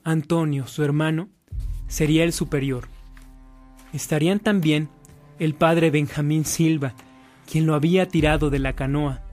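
A male narrator with a Mexican accent tells a scripted radio drama. His voice is fairly high for a man, and soft music plays behind it.

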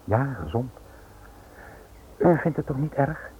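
An actor playing a suave foreign caller in a radio play, courteous, sly and insistent. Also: male voice, medium pitch, animated, takes it slowly, dark tone. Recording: tinny, noisy old radio drama recording.

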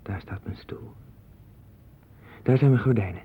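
A man's voice: fairly low pitch, unhurried, breathy edge, exaggerated voice. An actor playing a restless, obsessive man in a 1960s Dutch radio play, with a Netherlands accent and a rambling, repetitive, insistent delivery. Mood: hushed, obsessive. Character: restless, obsessive man sinking into a strange inner world. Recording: tinny band-limited 1960s radio recording.

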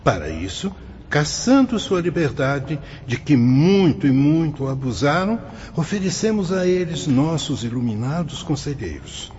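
A man's voice: mid-range voice, slight background noise. An actor playing a solemn, benevolent spirit director in a Brazilian radio drama, speaking in an oratorical, fatherly way. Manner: oratorical, fervent, paternal. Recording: dull lo-fi radio drama, loud music behind.

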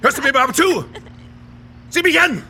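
An actor playing an angry, bitter killer on the run in a radio crime drama, berating and volatile. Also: male voice, very high voice, lively intonation, brisk, pushed and bright, exaggerated voice. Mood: furious. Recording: noisy ambience behind.

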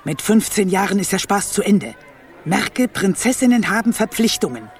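A woman's voice: mid-range voice, big pitch swings, normal speed, natural voice. A woman acting an imperious old grandmother queen from a fairy-tale radio play, scheming and scolding. Her delivery swoops up and down in a theatrical way, and the voice rings in a long hall reverb. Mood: commanding. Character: bossy, scheming old royal grandmother, a witch.

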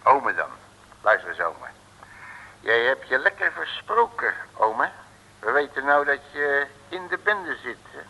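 Sharp, cocky radio-play detective. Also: male voice, medium pitch, sing-song, unhurried, heavily acted. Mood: cheeky, confronting. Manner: cheeky, colloquial, blustering. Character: cocky, blustering, streetwise male detective. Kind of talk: 1950s-60s Dutch radio play acting. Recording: old radio recording, reverberant hall.

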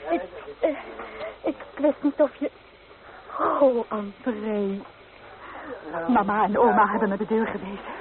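A woman's voice: mid-range voice, measured pace, pauses often, a crowd in the background, lightly acted. An actress in a radio drama playing a proud, wounded young woman, earnest and dramatic. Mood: hesitant, sad. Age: young adult. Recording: tinny, noisy old radio recording.

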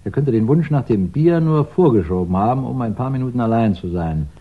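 An actor playing a courteous, probing police inspector in a 1960s radio crime drama, speaking deliberately with thoughtful pauses. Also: male voice, medium pitch, animated, lightly acted. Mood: pondering, probing. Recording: dull, band-limited 1960s radio recording.

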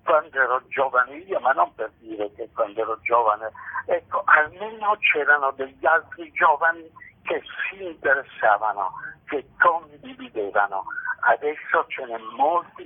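Slow, halting older man on a tinny phone line. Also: a little high, vocal fry, breathy edge. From Italy. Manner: halting, repeats phrases for emphasis. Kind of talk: reflective radio interview answers.

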